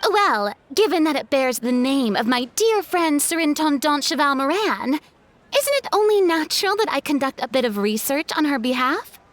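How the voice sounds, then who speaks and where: Game role: gracious young diva, very high and lively, dramatic, eloquent delivery. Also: female voice, normal speed, a little hiss or hum. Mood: breezy, self-assured. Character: theatrical, gracious young female celebrity star. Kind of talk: video game voice acting.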